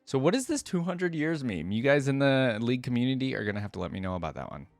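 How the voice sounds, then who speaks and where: A man giving casual, informal reaction commentary, chatting as he thinks out loud and sometimes reading text aloud. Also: mid-range voice, very animated, fast, no echo. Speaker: reaction video host.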